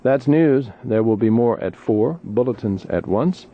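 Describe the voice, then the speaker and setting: A man's voice: medium pitch, lively intonation, unhurried, mellow. A man with an American accent hosting an overnight radio show, chatting in a conversational way and reading news and weather. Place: radio studio. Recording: tinny 1980s radio aircheck tape.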